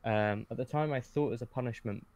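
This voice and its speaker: A man's voice: mid-range voice, fast talker, a little mellow. A man chatting casually as a podcast guest, hesitant and often correcting himself as he goes. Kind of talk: casual conversation.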